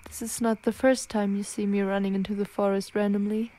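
A woman's voice: mid-range voice, flat delivery, brisk, mellow, close to an everyday voice. A woman playing a frightened, evasive young werewolf girlfriend in an ASMR roleplay, stammering and pleading as she fights her transformation. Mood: nervous, evasive.

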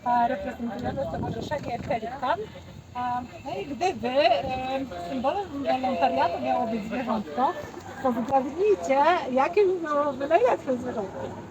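A woman's voice: high voice, unhurried, muffled recording. A woman speaking in a chatty, on-the-spot style as an organisation's representative. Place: outdoor volunteering fair in a park. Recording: outdoor fair crowd noise.

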